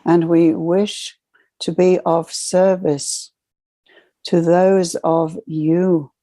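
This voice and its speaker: A woman leading a channeled guided meditation, speaking as a solemn spiritual guide in measured, ceremonial short phrases. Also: lowish voice, little pitch movement, warm tone, dry recording.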